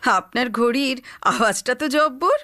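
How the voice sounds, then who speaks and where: A woman playing a brisk, friendly detective in an audio story, coaxing and conversational. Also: sits high, sharp tone, dry recording, studio quality. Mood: coaxing, probing.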